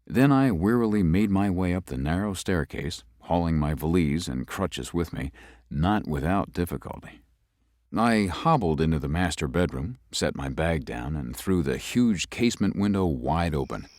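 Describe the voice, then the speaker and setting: A man voicing a young, composed city lawyer who narrates his own ghost story in a measured, slightly formal way. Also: low voice, expressive, a little mellow, no echo, clean studio sound. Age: young adult. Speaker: narrator and lead. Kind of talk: first-person audio drama narration.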